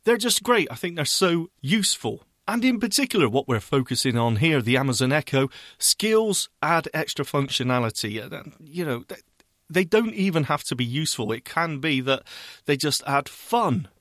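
Middle-aged guy, slight bristol twang, bouncy lively voice, dry close mic. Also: on the high side, dry recording. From Bristol, England. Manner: bantering, quick to tease. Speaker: podcast co-host. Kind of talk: casual chat.

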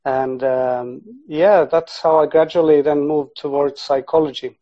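A man who grew up in Finland answers interview questions as a podcast guest in a casual, halting way. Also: a little high, fairly even intonation. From born in Sweden, grew up in Finland, half Icelandic. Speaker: podcast guest, sports psychology researcher. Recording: dull, lo-fi audio.

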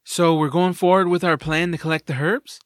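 An actor playing a steady, protective flying sidekick to a hero in a fantasy audio drama. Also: male voice, a little high, normal speed, no echo, clean studio sound, close to an everyday voice. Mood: confident.

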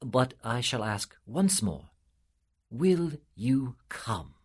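Tired, solemn angel, voiced by a man: slow, soft, husky. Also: medium pitch, exaggerated voice. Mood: weary. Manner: calm, measured, softly solemn. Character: weary, patient male angel, solemn and gentle.

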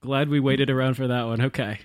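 A man's voice: mid-range voice, flat delivery, brisk, no room sound. Laid-back man podcasting, dry close sound. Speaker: podcast co-host. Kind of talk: casual podcast banter.

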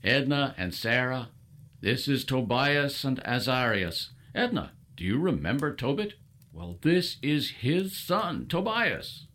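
A man playing a devout, hospitable father of biblical times in an audio drama, speaking in a formal, expressive way. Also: mid-range voice, takes it slowly. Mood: genial. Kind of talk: full-cast audio drama acting.

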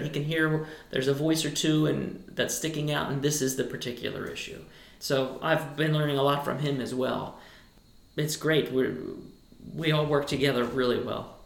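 High-voiced man with a gravelly edge. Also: reverberant. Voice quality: high tenor speaking voice. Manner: thoughtful, rambling with restarts. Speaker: boys choir director. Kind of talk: relaxed interview answers.

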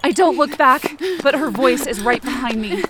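A woman's voice: high voice, animated, moderate pace, over a noisy crowd. A woman narrating first-person horror fiction in the present tense, with dramatic, urgent storytelling. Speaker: podcast writer and performer. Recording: loud sound effects and noise behind.

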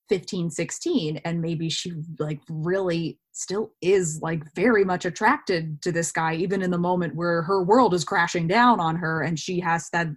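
A woman speaking casually as an actor being interviewed, telling stories and voicing her own thoughts as quotes. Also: on the low side.

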